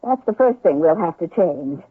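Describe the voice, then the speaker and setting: Commanding young frontier wife, husky voice. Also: female voice, on the low side, animated, moderate pace. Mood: brisk, bossy. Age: young adult. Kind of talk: radio drama acting. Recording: narrow-band, echoey old radio recording.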